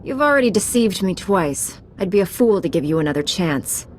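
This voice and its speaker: A principled Jedi knight, played by a woman at a middle pitch, firm and direct. She sounds earnest, with faint ambience behind her. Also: lively intonation, brisk, a little hiss or hum, natural voice.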